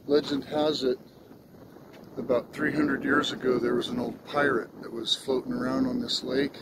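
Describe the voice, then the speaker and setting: A man's voice: medium pitch, flat delivery, normal speed. A man chatting casually outdoors in a folksy, joking way, like someone spinning a yarn. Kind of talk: casual chat, tongue-in-cheek yarn-spinning. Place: outdoors on a lakeshore. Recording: very loud wind and outdoor noise.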